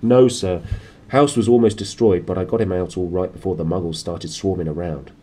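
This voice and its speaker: A man voicing a huge, rough-spoken but respectful giant in a storybook reading. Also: low voice, fairly even intonation, a little fast, dark tone, slight background noise, natural voice. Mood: eager, respectful. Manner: rough informal speech, deferential.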